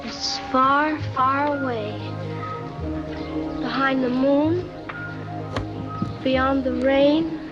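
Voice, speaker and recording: An actress playing a dreamy young girl who longs for a faraway place, in a soft, wistful, half-singing voice, from an old film clip. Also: high voice, steady tone, very slow, long pauses, dull audio, over music.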